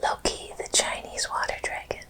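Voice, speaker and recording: A woman host narrating educational animal facts in an ASMR style, whispering slowly and deliberately. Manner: whispers slowly and deliberately through most of the talk.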